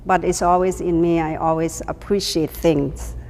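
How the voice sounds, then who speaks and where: A woman's voice: lowish voice, steady tone, moderate pace, a little mellow, in a large hall, a little hiss or hum. A woman in her sixties from Thailand, answering interview questions in an earnest, emphatic way. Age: 60s. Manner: earnest, emphatic, non-native grammar. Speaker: ballroom dancer, interviewee.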